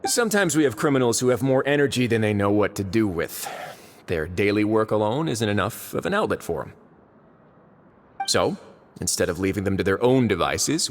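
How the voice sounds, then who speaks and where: A man playing a composed, courteous and shrewd prison warden duke in a video game, speaking in a measured, wry way. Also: lowish voice, a little hiss or hum, lightly acted. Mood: explanatory. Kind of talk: English video game voice acting.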